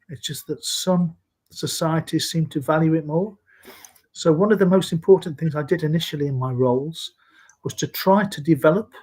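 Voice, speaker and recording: A man in his sixties from Scotland, speaking in a measured, earnest way as a guest in a live video-call interview. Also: fairly high pitch, darkish tone. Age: 60s. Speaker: interview guest, safety leader.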